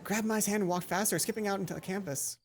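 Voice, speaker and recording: A woman let's play host reading a visual novel aloud in an expressive storytelling way, with casual asides. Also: medium pitch, fast. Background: game music.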